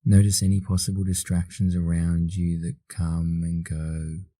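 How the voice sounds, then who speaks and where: An Australian man leading a guided meditation in a soothing manner, leaving long pauses between slow instructions. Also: sits low, monotone, warm tone, no room sound, clean studio sound.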